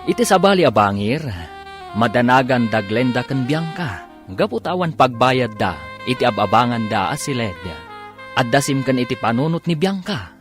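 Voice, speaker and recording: A man with a Filipino accent narrating and announcing a radio drama in a dramatic scripted style. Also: mid-range voice. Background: music.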